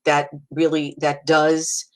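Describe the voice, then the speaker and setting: A middle-aged woman from Brooklyn speaks in a low voice with a clear Brooklyn accent. She is hosting a casual live stream and reassures her viewers warmly. Her phrases often restart, and she fills them with "you know.". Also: completely flat delivery, takes it slowly. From Brooklyn, New York. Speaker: psychic medium hosting a live video.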